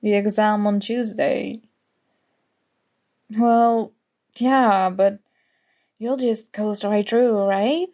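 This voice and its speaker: A young woman playing a sarcastic, competitive college student in an ASMR roleplay, teasing and exasperated, with a creaky voice. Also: mid-range voice, steady tone, very slow, pauses often, warm tone. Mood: sarcastic dismissive. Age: young adult. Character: snarky competitive college student, grudgingly fond academic rival. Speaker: audio roleplay voice actor.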